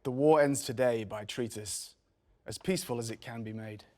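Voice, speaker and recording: A man's voice: mid-range voice, moderate pace, a little mellow, lightly acted. A man voicing a zealous, troubled visionary who solemnly addresses troops in a fantasy drama read. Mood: solemn, urgent.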